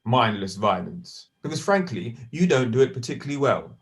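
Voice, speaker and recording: A man gives voice to a well-spoken young man with precise, cutting diction and a cool, superior wit. He sits at a middling pitch for a man. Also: very animated, normal speed. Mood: dry. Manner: precise, dry, condescending. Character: posh, articulate young man, dry and superior. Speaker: actor in a stage play. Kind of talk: audio drama acting.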